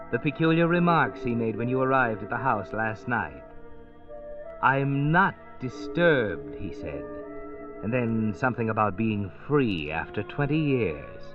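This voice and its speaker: An actor playing an all-knowing, mocking narrator in 1940s radio noir, sardonic and dramatic. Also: male voice, medium pitch. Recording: dull lo-fi old radio recording. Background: loud dramatic music.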